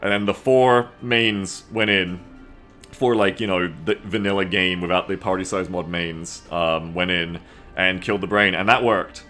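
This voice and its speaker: A man chatting casually to viewers as a game streamer, rambling, excitable and swearing freely. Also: sits low, moderate pace. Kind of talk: casual livestream chat to viewers. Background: music.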